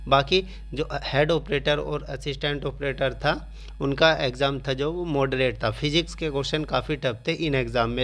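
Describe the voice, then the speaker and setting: A man speaks as a coaching channel host giving students an update. His mid-range voice stays very even and flat in pitch. He explains point by point, repeating key phrases and mixing in English terms. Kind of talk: informational exam update addressed to students.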